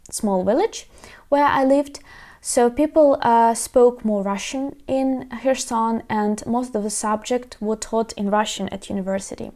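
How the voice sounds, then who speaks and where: A woman in her thirties with a Ukrainian accent, giving a casual lesson and often pausing with uh and um. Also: on the high side, dark tone. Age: 30s. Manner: frequent hesitations with uh and um. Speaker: language teacher.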